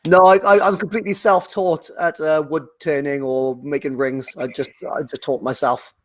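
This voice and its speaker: A man's voice: on the high side, little pitch movement, moderate pace, fairly bright tone. A young adult man from South Wales with a Welsh accent, chatting as a podcast guest and rambling with lots of fillers and restarts. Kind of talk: casual chat.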